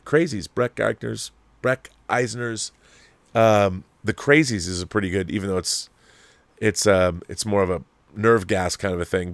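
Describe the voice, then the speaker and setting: A man's voice: lowish voice, gravelly, a bit bright, no echo. A man hosting a commentary show, talking casually and opinionatedly in a rambling, emphatic way, repeating himself and swearing freely. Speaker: host of a film and TV commentary show. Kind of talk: casual opinionated commentary, answering viewer messages.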